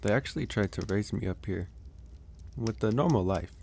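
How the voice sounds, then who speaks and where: A man playing a gentle, reflective werewolf caretaker in an intimate ASMR roleplay, soft-spoken and thoughtful with hesitant pauses. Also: lowish voice, creaky, slight background noise.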